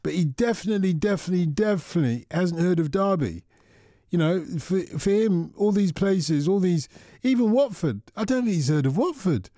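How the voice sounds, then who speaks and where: A male radio host talks with callers in a relaxed, chatty way, musing aloud as he goes. His voice sits a little high but sounds mellow and warm. The studio mic is close and dry. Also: moderate pace, dry recording. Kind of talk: casual radio chat with callers.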